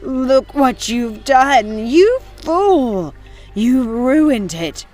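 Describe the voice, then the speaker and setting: A woman's voice: sits high, very animated, drawn-out words, a little mellow, soft background music, voice pushed up, full character voice. Woman playing a wicked witch, female, furious, accusing, raised voice, harsh exclamations, storybook villain. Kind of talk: audiobook character voice.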